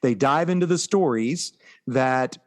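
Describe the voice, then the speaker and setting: A man's voice: medium pitch, unhurried. A middle-aged man with an American accent chatting casually and playfully as a podcast co-host about pop culture.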